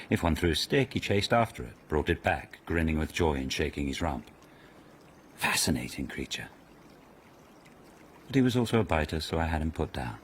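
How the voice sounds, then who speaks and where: An actor playing a sardonic, imperious aristocratic lord in a radio period mystery, with a pompous, commanding manner. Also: male voice, extremely low pitch, slight background noise. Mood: amused disdain. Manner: commanding, pompous, drawling scorn.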